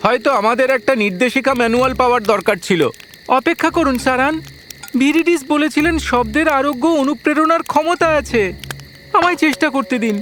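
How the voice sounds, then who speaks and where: A man voicing a courteous, earnest young prince in an animated fairy tale, speaking politely and formally. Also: very high-pitched, a little fast, slight background noise. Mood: hopeful.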